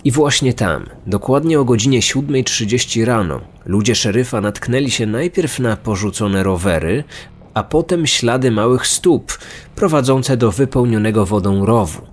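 A man's voice: mid-range voice, expressive, moderate pace, slight background noise. A man reading a scripted true-crime narration. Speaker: podcast narrator. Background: background music.

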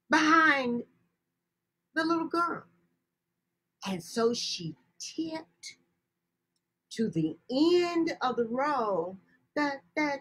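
Animated, high-voiced woman storyteller. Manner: theatrical, playful, with dramatic pauses and repetition. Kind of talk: animated children's folktale storytelling with sung refrains. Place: indoors, video call.

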